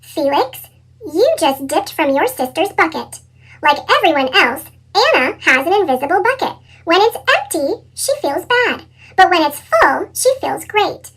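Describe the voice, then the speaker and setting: A gently instructive old grandfather from a picture book, voiced by a woman. The sped-up chipmunk effect leaves him extremely high and animated. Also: a little slow, exaggerated voice. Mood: gently chiding. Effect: sped-up chipmunk pitch shift.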